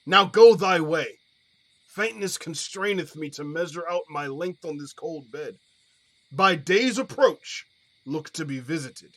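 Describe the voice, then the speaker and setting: A man as bright, hot-headed young lover. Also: on the high side, animated, unhurried. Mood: exhausted. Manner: declamatory, indignant. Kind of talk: Shakespearean verse audio drama.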